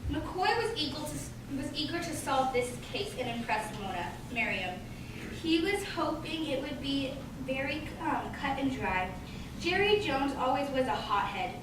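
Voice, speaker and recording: A woman narrating a scripted radio play with a halting amateur reading. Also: high-pitched, lively intonation. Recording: very echoey room, distant mic, clear background noise.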